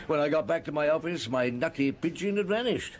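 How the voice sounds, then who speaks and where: An actor playing a shrewd, grumbling middle-aged police detective in an audio drama, musing and questioning in a dry manner. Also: male voice, a little high, lively intonation, a little fast, a little hiss or hum, lightly acted. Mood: wry, musing. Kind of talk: audio drama acting with narration.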